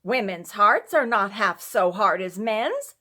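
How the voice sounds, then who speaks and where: A sharp-tongued, impatient wife from a classic storybook, played by a woman reader. She scolds and demands answers with open indignation. Her temper is short and her manner is cutting. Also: medium pitch, animated, takes it slowly, exaggerated voice. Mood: indignant. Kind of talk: storybook character voice.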